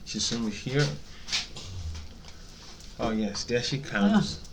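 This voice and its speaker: A man's voice: medium pitch, extremely slow, background noise. A man co-hosting a casual vlog, chiming in with agreement and echoing phrases. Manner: chimes in with agreement and echoes. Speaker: vlog co-host. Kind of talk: casual chat.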